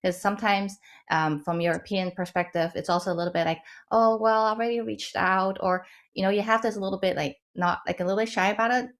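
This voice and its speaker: A woman's voice: mid-range voice, fairly even intonation, fast. A woman from the Netherlands giving informal, explanatory advice as a panelist, emphatic and often checking in with the listener. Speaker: webinar panelist and advisor.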